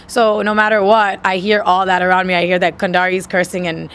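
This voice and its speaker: A woman's voice: fairly low pitch, level tone, brisk, fairly bright tone, clean tone. A woman with a New York accent chatting casually as an interview guest, blunt and assertive in slangy speech. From Queens, New York, USA.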